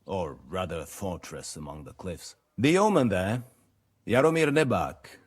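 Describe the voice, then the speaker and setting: Slow, lordly middle-aged nobleman. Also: on the low side. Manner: measured, formal, lordly. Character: shrewd, weary middle-aged lord, commanding and measured. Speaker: medieval nobleman. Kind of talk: video game cutscene acting.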